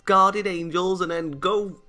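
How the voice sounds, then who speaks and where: Male let's play host, bright, forceful voice, animated reactions while narrating a visual novel, music underneath. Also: high-pitched, slow. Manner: animated, exasperated outbursts.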